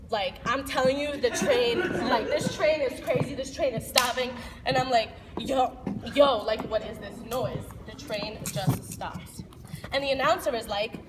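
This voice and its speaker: A woman acting an excitable, streetwise young city girl in live theater, with animated, slangy, dramatic delivery. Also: fairly high pitch. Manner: animated, slangy, breathless dramatic build-ups. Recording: noisy echoey hall, distant mic.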